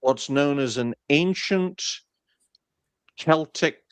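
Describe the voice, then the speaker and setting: A man's voice: a little high, takes it slowly, smooth, dry recording, soft background music. A man chatting on a podcast panel, sarcastic and crude as he comments. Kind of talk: casual chat.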